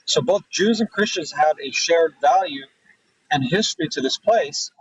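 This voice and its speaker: A man from Israel answering interview questions thoughtfully, pausing often with fillers and restarts. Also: a little high, expressive, a little hiss or hum. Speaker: guest. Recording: distant, dull remote audio.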